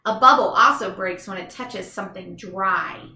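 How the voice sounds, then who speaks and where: A woman's voice: medium pitch, normal speed, reverberant, heavy background noise. A woman reads a children's book aloud like a teacher, enthusiastic and instructive, with chatty explanatory asides to young listeners.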